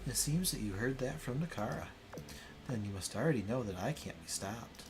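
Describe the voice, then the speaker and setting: A man's voice: medium pitch, lively intonation, brisk, natural voice. A man voicing an obsessed, scornful female impostor villain from a visual novel in a haughty, theatrical way. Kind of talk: dramatic visual novel read-aloud. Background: game music.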